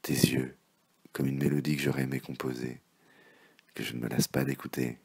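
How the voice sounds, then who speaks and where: A man with an extremely low, gravelly voice recites love poetry. His delivery is theatrical and impassioned, able to sink into hushed murmurs, and his tone is husky and rough. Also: level tone, normal speed. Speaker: poet reading poems.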